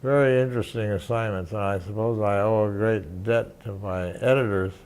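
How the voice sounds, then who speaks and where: An older man, a veteran journalist, recounting memories in an interview, halting and restarting often. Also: on the low side, fairly even intonation, a little mellow, a little hiss or hum. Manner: halting, many restarts and fillers. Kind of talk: reminiscing interview.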